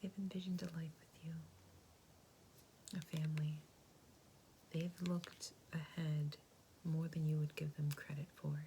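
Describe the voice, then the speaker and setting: A woman whispers a tarot reading, soft and reassuring, her low voice breaking into a gravelly fry now and then. Her tone stays flat and hushed, and a steady hiss of noise sits under the recording. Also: unhurried, long pauses. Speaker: tarot reader.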